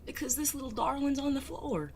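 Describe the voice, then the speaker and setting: A woman's voice: sits high, expressive, a little fast, a little hiss or hum. A woman acting in an audio drama as a grown bandit son, charming and evasive, with a genteel, wordy, hesitant way of talking. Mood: breezy, affectionate.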